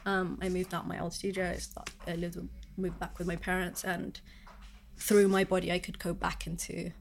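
A woman speaks with a fairly low voice that has a gravelly edge. She is an artist, talking reflectively and haltingly, reaching for her words. She often pauses and restarts. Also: completely flat delivery. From born in Malaysia, raised in Sharjah, then London from six. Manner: searching, halting speech with many fillers and restarts. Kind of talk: reflective interview talk.

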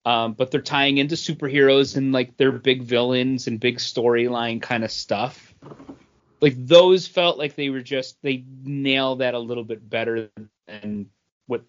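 A man co-hosting a comic book podcast, chatting casually and haltingly as he thinks aloud. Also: medium pitch. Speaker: podcast co-host reviewing comic books. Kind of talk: casual chat. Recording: dull, band-limited audio.

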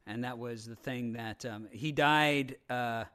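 Halting, rambling man talking off the cuff. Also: mid-range voice, fairly bright tone, clear, resonant tone. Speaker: podcast panelist. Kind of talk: casual opinionated talk.

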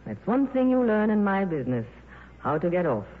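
An actress playing a worldly, soft-hearted film star in a 1950s radio drama, with a wry, breezy, clipped manner. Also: female voice, medium pitch, big pitch swings, a little fast, natural voice. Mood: wistful. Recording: tinny, noisy old radio broadcast.

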